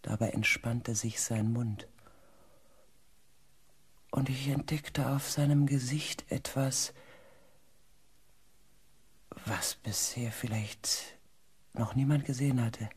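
A man playing an earnest, compassionate expedition researcher who tells his story gently and deliberately in a sci-fi radio play. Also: medium pitch, steady tone, slightly rough. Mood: calm narration. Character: earnest, compassionate male space-expedition researcher recounting events. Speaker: narrator and character in a radio play. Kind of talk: German sci-fi radio drama acting.

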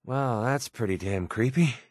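An actor playing a young, wry mercenary in a video game, guarded but soft-hearted, with a sarcastic, conversational manner. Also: male voice, mid-range voice, measured pace, dry recording, clean studio sound, close to an everyday voice. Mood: wry, wary. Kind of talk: video game companion dialogue.

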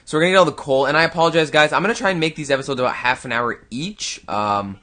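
A man's voice: a little high, fast talker, bright, forceful tone. A young man giving casual, rambling gameplay commentary, talking to himself and his viewers close to the mic. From Canada. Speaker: gaming YouTuber. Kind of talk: casual let's-play commentary.

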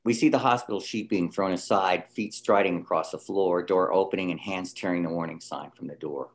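Low-voiced man reading screenplay directions. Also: a little fast. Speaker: stage directions reader. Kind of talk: scripted narration of screenplay directions.

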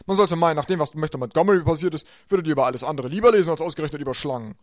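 A man's voice: fairly high pitch, dry recording, studio quality, voice pushed up, natural voice. A man voicing a fussy, dismissive adult male banker who keeps coughing, in a homemade audiobook. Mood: brusque. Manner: loud, brisk, talks over the children. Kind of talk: audiobook character voice.

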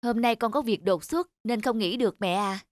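A woman playing a busy, anxious, suspicious husband and son in a cartoon, with a brisk, fretful manner. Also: fairly high pitch, no echo, studio quality, close to an everyday voice. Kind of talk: cartoon voice acting. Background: music.

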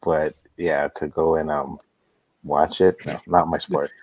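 Deep low guy, easygoing chat over a video call, dry sound. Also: little pitch movement, unhurried, a little mellow, dry recording, poor audio. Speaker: guest. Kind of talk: casual panel chat.

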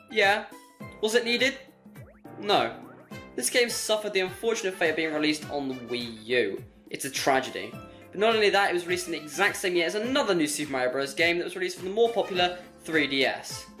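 A man's voice: fairly high pitch, sharp tone. A young man giving a scripted comedic game review in a sarcastic, mocking way.

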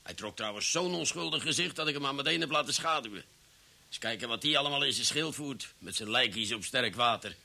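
An actor in a Dutch radio drama playing a stubborn, superstitious police superior who blusters and stammers. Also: male voice, medium pitch, expressive, fairly quick, gravelly, bright, forceful tone, exaggerated voice. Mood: blustering, stubborn. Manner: blustery, stammering, repeats words.

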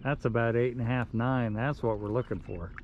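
A man's voice: medium pitch, fairly even intonation, a little fast, phone-quality sound. A man fishing from a small boat on a lake, making dry laid-back remarks about his catches. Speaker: channel host, angler. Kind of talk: casual chat while fishing. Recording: outdoor wind and water noise.

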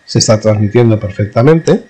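A man narrating an informal hands-on technical demonstration as an instructor, explaining haltingly and correcting himself as he goes. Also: on the low side, mellow, husky. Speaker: radio communications instructor.

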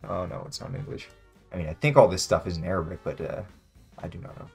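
Young man, deep voice, hesitant musing, casual gameplay chat, faint music behind. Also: lively intonation, fast talker, vocal fry. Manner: hesitant, musing, hums while thinking. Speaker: guest player, beginner. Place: small home room indoors.

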